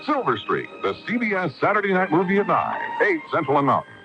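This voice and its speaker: Man, American, promo announcer, extremely animated, loud music behind, dull lo-fi radio. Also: fairly high pitch, quick pace. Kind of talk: hyped movie promo read.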